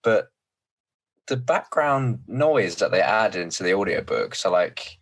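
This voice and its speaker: A man hosting a podcast, a little croaky, talking enthusiastically with frequent ums. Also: on the low side, lively intonation, a little slow. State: slightly croaky voice. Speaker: podcast host. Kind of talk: casual podcast chat.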